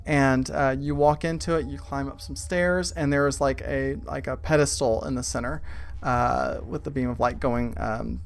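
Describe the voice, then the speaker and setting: A man running a tabletop game, improvising narration in a halting, conversational way. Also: medium pitch, steady tone, vocal fry, smooth. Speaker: game master.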